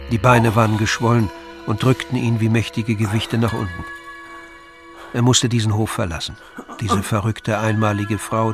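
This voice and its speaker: Male literary narrator over music. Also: lowish voice.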